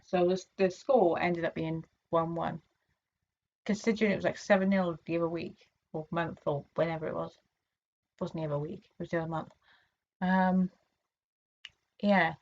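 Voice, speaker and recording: A woman from England talks casually to her camera, her voice a little hoarse from a sore throat and pitched on the low side. She rambles, stops and restarts, filling gaps with ums, and the home recording sounds dull and lo-fi. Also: fairly even intonation, moderate pace, pauses often, darkish tone. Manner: rambling, many fillers and restarts. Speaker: vlogger.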